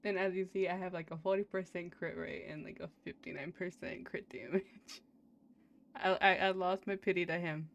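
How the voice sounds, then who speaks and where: A woman's voice: lowish voice, steady tone, moderate pace, creaky. A young woman chatting casually as she streams a game, informal and rambling, given to swearing and complaint. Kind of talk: casual live-stream chat over gameplay.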